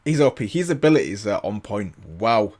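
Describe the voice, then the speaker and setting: A man's voice: lowish voice, expressive, fairly quick, darkish tone, soft background music. A young man hosting a reaction video, enthusiastic and rambling, often restarting and repeating himself. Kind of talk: casual reaction commentary.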